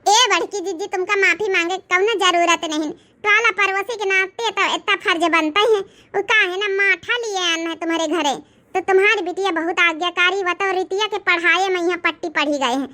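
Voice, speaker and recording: A woman plays a meddling village neighbour in a cartoon comedy. She chatters wheedlingly in an Awadhi accent, in an extremely high, sharp voice. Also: normal speed. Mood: chatty, wheedling.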